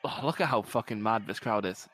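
A man's voice: fairly low pitch, lively intonation, a little fast, a bit bright. Sweary Scottish man, laughing. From Scotland. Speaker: podcast co-host. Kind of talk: casual watchalong chat.

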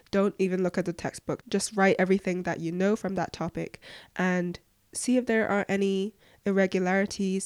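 A young woman with a voice croaky from flu, giving casual, earnest advice as a podcast host answering questions. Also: lowish voice, a little mellow, dry recording. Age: young adult.

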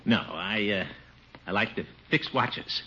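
An actor playing a pompous, boastful middle-aged bachelor with a chuckling, wheedling manner in a 1950s radio comedy. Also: male voice, lowish voice, a little slow, pushed and bright. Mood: boastful, pleased. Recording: dull, noisy vintage radio recording.

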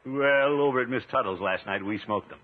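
A man playing a pompous, genial middle-aged uncle with hearty, drawn-out exclamations in a 1950s radio comedy. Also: mid-range voice, phone-quality sound, close to an everyday voice. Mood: contented. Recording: noisy 1950s radio broadcast recording.

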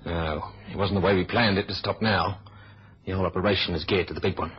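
Deep-voiced, cautious British kidnapper male actor. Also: fairly even intonation, fast talker, close to an everyday voice. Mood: measured, calculating. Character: cautious, reluctant male kidnapper, methodical planner. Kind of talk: 1970s radio drama acting. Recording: dull, lo-fi radio recording.

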